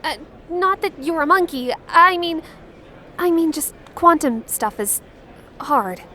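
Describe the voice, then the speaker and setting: A woman's voice: very high voice, animated, takes it slowly, bright, forceful tone, a little hiss or hum, heavily acted. An actress playing a friendly, curious teenage schoolgirl in a comic dub, inquisitive and a little hesitant. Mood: thoughtful, hesitant. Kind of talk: acted comic dub dialogue.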